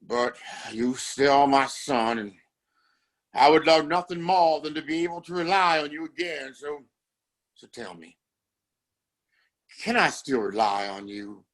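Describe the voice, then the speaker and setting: Casting: male actor as scheming Western patriarch, higher-voiced, formal, commanding and contemptuous, for an audio drama. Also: animated, measured pace, pauses often, a bit bright. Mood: stern bargaining. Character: domineering, contemptuous father and scheming town boss.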